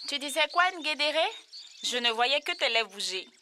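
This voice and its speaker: Lady doing a sensible kid cartoon voice, bright n animated, earnest, little bit of noise. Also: high-pitched, normal speed. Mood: insistent. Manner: earnest, correcting. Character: sensible, matter-of-fact young cartoon child. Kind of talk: children's cartoon dubbing.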